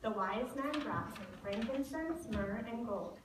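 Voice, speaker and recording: A woman's voice: fairly high pitch, expressive, unhurried. A woman playing a vain, boastful rich lady in a church puppet show. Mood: smug, boastful. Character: vain, boastful rich woman who loves expensive gifts. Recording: echoey hall, far mic, noisy.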